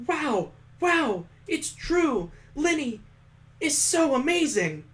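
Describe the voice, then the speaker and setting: Visual novel small child, easily amazed, squealing up high and exclaiming adult male reader doing the voice. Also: sing-song, slow pace, fairly bright tone, exaggerated voice.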